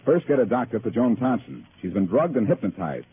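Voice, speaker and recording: An actor playing a self-assured, wisecracking amateur detective in 1940s radio drama, with wry, unruffled banter. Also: male voice, mid-range voice, big pitch swings, brisk, lightly acted. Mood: cocky. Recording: noisy narrow-band old-time radio recording.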